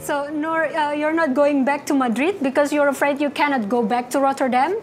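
A woman's voice: sits high, steady tone, moderate pace, slight background noise. A woman co-hosting a studio show, asking guests questions with some hesitant fillers. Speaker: co-host. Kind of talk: studio interview.

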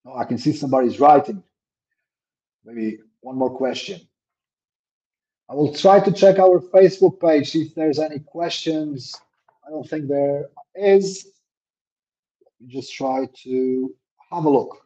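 A man with a somewhat high voice but a warm, mellow tone fields questions at a webinar. He hesitates, restarts and repeats words as he works his way through each answer. The call audio is dull and lo-fi. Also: unhurried, pauses often. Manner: says his own voice is a little deep. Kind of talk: live webinar Q&A. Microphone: laptop mic on a video call.

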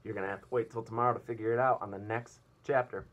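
A man in his thirties reading a storybook aloud like a teacher to his class, in an animated way. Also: lowish voice, fast, highs cut off. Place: small indoor office or classroom.

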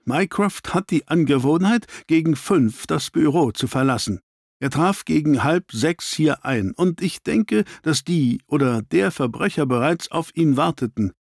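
A man's voice: a little high, expressive, unhurried, creaky, no room sound, clean studio sound, pitched above the performer's own voice, exaggerated voice. A man voicing an angry, self-reproaching, commanding detective in an audiobook, with clipped, authoritative delivery. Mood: angry, tense. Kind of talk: dramatised audiobook reading.

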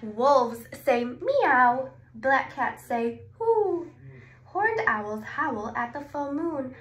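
A woman in her twenties reads a picture book for small children, with exclamations and simple questions. Her voice is fairly high and her delivery unhurried. It is recorded in an echoey small room with noticeable background noise. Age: 20s. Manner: expressive, exclaiming, asks questions and pauses before answering. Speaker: speech therapist. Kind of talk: animated read-aloud of a children's picture book, with questions and answers. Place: small indoor clinic room. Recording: roomy echo, clear background noise.

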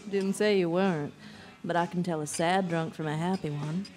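An actress playing a flirty, worldly stranger in a bar for a radio drama, teasing, sly and persistent. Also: female voice, on the low side, steady tone, normal speed, a little mellow, lightly acted. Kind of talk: live radio drama acting.

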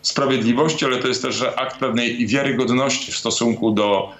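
A man in his forties talks slowly and deliberately, pausing often as he weighs each point. His voice sits at an ordinary middle pitch. It comes over a webcam from a book-lined home study with a roomy echo. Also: fairly even intonation, a bit bright. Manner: halting, deliberate, many pauses. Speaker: guest commentator. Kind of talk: remote talk-show interview. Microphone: video call webcam. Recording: roomy home study via video call.

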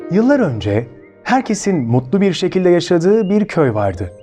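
A man's voice: sits high, sing-song, normal speed, mellow, faint music behind. A man with a Turkey Turkish accent hosting a children's story show, speaking expressively and addressing his listeners directly as friends.

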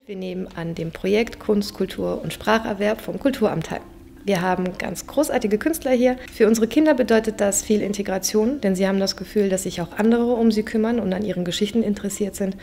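Gravelly, dark-voiced woman speaking earnestly. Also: mid-range voice, a little fast, slight background noise. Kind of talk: documentary interview statement.

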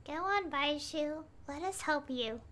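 A woman's voice: sits high, unhurried, fairly bright tone, a little hiss or hum. A woman doing casual live game-stream commentary, chatting and reading dialogue choices aloud. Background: game music.

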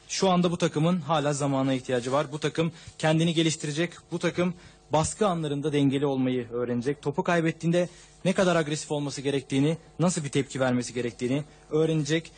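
Male interpreter, quick pace, slightly high, husky voice, press room table mic. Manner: steady, unbroken rendering of a long answer. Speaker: interpreter for a football coach.

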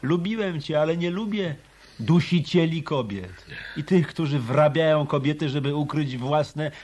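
An actor playing a shrewd hard-boiled detective in a radio drama, speaking in a dry, deliberate, probing way. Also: male voice, a little high, animated, a little mellow. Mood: accusing. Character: shrewd hard-boiled male hotel detective turned private eye. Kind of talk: Polish radio drama dialogue.

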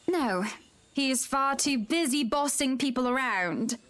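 An actress playing a wealthy, teasing, sharp-tongued young wife in a visual novel, chatty and pointed. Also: female voice, high-pitched, animated, measured pace. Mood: indignant.

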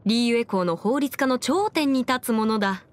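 Woman acting high-voiced, confident young lady lawyer. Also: lively intonation, moderate pace, natural voice. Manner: self-assured, formal, crisp lecturing phrasing. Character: confident, bookish young woman legal expert, proud and playful. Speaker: video game character voice actor. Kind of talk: scripted anime-style game character monologue.

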